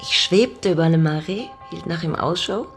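A woman's voice: lowish voice, moderate pace, soft background music, lightly acted. An actress playing a lonely middle-aged woman in an intimate radio drama, playful yet haunted by her vanished father, with a reflective, teasing way of talking. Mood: dreamy, wistful.

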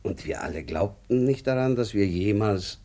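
A low, deep voice of a good-natured policeman in a children's radio play. He talks like a fond uncle, deliberate and kindly as he tells his story. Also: expressive, warm tone. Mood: earnest storytelling. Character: fond, good-natured small-town policeman, grown man. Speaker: narrator character.

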